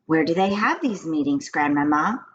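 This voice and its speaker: A woman's voice: sits low, expressive, moderate pace, dull audio. A woman voicing an anxious, curious young grandchild in a children's storybook, asking questions with wide-eyed eagerness. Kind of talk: children's storybook read-aloud.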